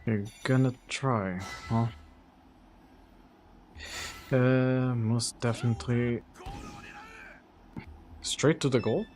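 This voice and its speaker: Slow, easygoing male game streamer. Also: mid-range voice, a little hiss or hum. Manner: relaxed, rambling with many fillers. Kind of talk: casual gameplay commentary.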